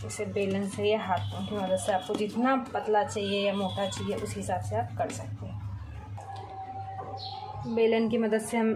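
A woman hosting a cooking channel, calmly explaining a recipe step by step from her home kitchen. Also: medium pitch, measured pace, over music.

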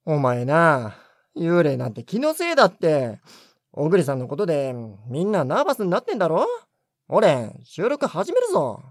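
A man's voice: fairly high pitch, wildly swooping pitch, moderate pace, no room sound, full character voice. A man playing a brusque, skeptical senior studio engineer in a voice drama, blunt and dismissive. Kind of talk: Japanese voice drama acting.

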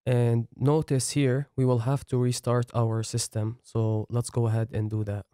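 Man calmly walking through software setup. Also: mid-range voice, normal speed, clear, resonant tone, dry recording, studio quality. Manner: plain step-by-step explaining. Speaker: software tutorial instructor. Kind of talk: screen-recorded coding tutorial narration.

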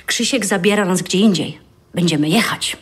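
An actress playing a bossy, outgoing, teasing friend in her forties, a woman talking briskly in a naturalistic audio drama. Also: lowish voice, close to an everyday voice. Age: middle-aged. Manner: bossy, repeats words briskly.

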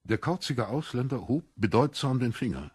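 Male narrator for literary audiobook: expressive, animated range, very husky tone. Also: medium pitch, moderate pace, no room sound, studio quality.

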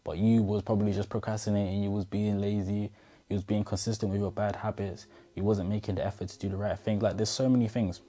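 A man's voice: lowish voice, little pitch movement, fast talker, warm tone. A man in his twenties giving an earnest motivational talk to camera in his bedroom, speaking straight to the viewer on a lapel mic. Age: 20s. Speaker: motivational vlogger. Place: bedroom indoors. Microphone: clip-on lapel mic.

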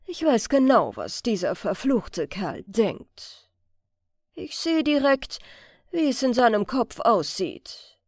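A woman's voice: a little high, very animated, slow pace, dry recording, studio quality, full character voice. A woman voicing a hot-tempered, boastful male master thief in an audiobook, scornful and emphatic.

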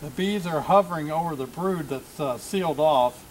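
A man calmly explaining a beehive inspection as he works outdoors in a yard, thinking aloud as he goes. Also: fairly high pitch, moderate pace, distant mic, a little hiss or hum. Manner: calm, explanatory, thinking aloud while working. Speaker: beekeeper teaching a hive inspection. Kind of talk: outdoor demonstration narration.

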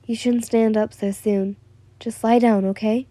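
Young big-sister role, acted by a woman: caring, torn, apologetic, mellow tone, close dry sound, audio story. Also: on the high side, level tone, a little slow, no room sound, natural voice. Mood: gentle concern. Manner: pleading, apologetic, soothing.

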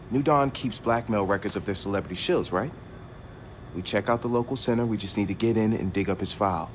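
A man's voice: on the low side, fairly quick, close to an everyday voice. A young man playing an eager, casual, slangy hacker in a video game cutscene. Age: young adult. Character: eager, laid-back young male hacker. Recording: noisy ambient game scene.

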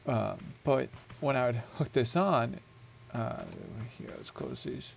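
A man's voice: medium pitch, lively intonation, takes it slowly, vocal fry, very noisy. A young man casually walking through a game build he made, rambling and correcting himself with many ums, into a headset mic at home. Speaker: gaming video creator.

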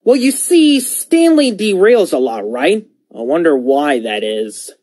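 A man voicing a chatty, scheming steam engine who insinuates things in an animated game. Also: on the high side, unhurried, no echo. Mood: sly, gossipy. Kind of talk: fan animated game cutscene acting.

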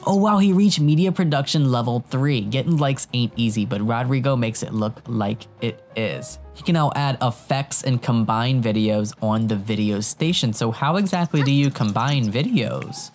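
Young man, sick with a cold, sore throat, chatty gaming commentary, headset mic, faint background music. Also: medium pitch. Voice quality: voice sounds a bit off from illness. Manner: chatty, excitable, talks straight to viewers. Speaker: gaming YouTuber. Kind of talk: casual let's-play commentary.

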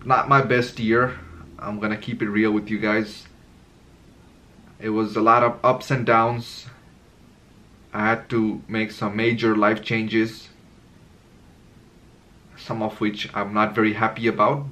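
Young male vlogger, lowish voice, candid unscripted talk to camera, rambling, home room. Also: a bit bright. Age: 20s. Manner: candid, rambling, searching for words. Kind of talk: unscripted reflective monologue to camera. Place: indoor room with curtains.

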